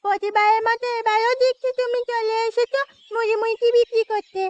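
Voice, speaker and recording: A woman's voice: extremely high pitch, level tone, brisk, muffled recording. A woman voicing an eager, pleading little village girl with a childlike, whining manner in a cartoon. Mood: curious, pleading. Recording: music under the voice.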